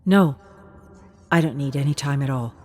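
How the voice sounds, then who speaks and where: Determined young daughter, acted by a woman narrator: earnest, pleading, defensive, fairly low voice, game-story delivery. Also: normal speed, a little mellow.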